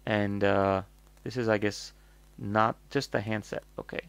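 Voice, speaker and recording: A man's voice: fairly low pitch, steady tone, a little slow, clean tone, no echo. A North American man hosting a casual, off-the-cuff product review, rambling and blunt as he thinks aloud.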